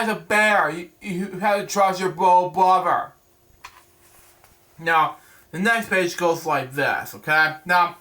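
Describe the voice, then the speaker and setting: A man hosting a storybook read-aloud, halting and stumbling over words, drifting into rambling casual asides. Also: a little high, sharp tone.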